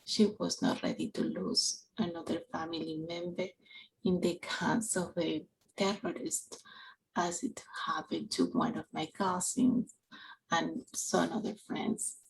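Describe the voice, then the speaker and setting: A woman's voice: fairly low pitch, expressive, vocal fry, low-quality recording. A woman in her forties from Peru with a Peruvian Spanish accent, speaking English as a second language while giving an earnest personal reflection for a church novena. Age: 40s. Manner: slow, earnest and halting, with soft whispered stretches. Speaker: parish council member giving a reflection.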